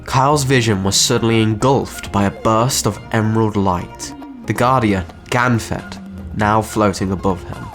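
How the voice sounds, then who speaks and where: A man's voice: on the low side, unhurried, soft background music. A man reading scripted, dramatic narration for a story.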